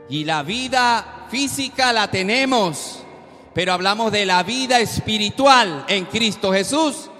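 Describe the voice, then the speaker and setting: A man preaching a sermon as the regular speaker of a program, exhorting listeners in a slow, emphatic way that rises into loud bursts. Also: very high voice. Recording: long reverberant hall.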